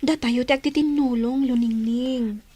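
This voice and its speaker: High-voiced sympathetic village friend. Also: female voice, fairly even intonation, a little slow, dark tone. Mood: concerned, coaxing. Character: supportive female friend offering shelter and help. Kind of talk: Ilocano radio drama acting.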